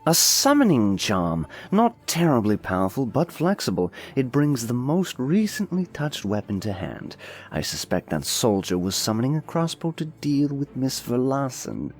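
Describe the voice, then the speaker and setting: Casting: man as aloof mage lord for fantasy audiobook, cynical and condescending, mid-pitched with lively swoops, music underneath. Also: normal speed, lightly acted. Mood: detached, curious. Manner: dry, condescending, unruffled. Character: cynical, aloof male demon-keeping lord and mind mage. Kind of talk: dramatized fantasy audiobook acting.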